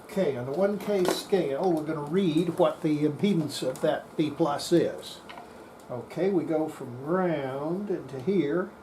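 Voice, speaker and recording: Halting workbench demonstrator with noisy background. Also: medium pitch, mellow. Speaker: electronics restorer demonstrating a repair. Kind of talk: unscripted hands-on workbench demonstration. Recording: clear background noise.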